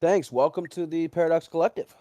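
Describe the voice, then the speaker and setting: Fast-talking man hosting a casual stream. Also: fairly high pitch, warm tone, dry recording. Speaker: host interviewing a guest. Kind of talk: casual livestream interview.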